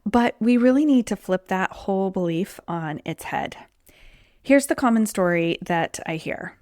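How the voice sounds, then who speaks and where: A woman's voice: medium pitch, expressive, unhurried, a little mellow, no echo, studio quality. A woman hosting her own podcast as a weight loss coach, talking in a chatty, conversational way, her voice a little off from being sick. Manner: chatty, conversational, says "like" and "um" often. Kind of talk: solo podcast talk.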